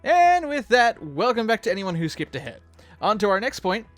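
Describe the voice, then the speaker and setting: A man co-hosting a chatty fan commentary on an animated episode, bantering in an animated way. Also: high voice, normal speed, soft background music. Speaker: co-host of fan commentary video.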